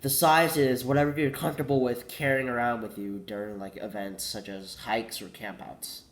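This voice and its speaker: A man's voice: medium pitch, fairly even intonation, normal speed. A teenage boy with a cold, congested and coughing, rambling casually and correcting himself, saying "like" a lot. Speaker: vlogger.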